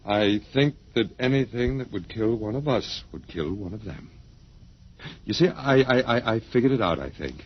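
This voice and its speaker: A man's voice: lowish voice, animated, moderate pace. A male actor playing a wry, resigned academic with a detached, lecturing manner in an old radio drama. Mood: explaining, detached. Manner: wry, dry-humored, professorial, casually rambling. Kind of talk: 1950s radio drama acting. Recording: muffled, worn old radio recording.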